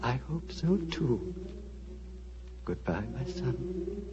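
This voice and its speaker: An actor playing a gentle, wistful old monastery abbot, speaking in a solemn, fatherly, flowery manner of 1940s radio drama. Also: on the high side, very animated, drawn-out words, heavily acted. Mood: wistful, tender. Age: older. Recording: dull, muffled 1940s radio recording.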